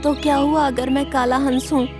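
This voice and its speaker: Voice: sits high, little pitch movement, normal speed, music in the background, close to an everyday voice. A performer voicing a plucky, earnest young male swan in a cartoon moral story. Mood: defiant. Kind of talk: animated moral story dialogue.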